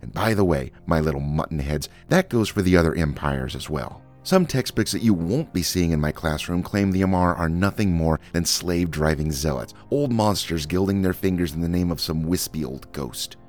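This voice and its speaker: A biting, sardonic teacher, put on by a man, holds court over his students. The voice sits very deep yet rises and falls with lively emphasis, each word laced with bitterness, as faint music plays behind. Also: moderate pace, few pauses. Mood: lecturing. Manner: acid, scornful, lecturing.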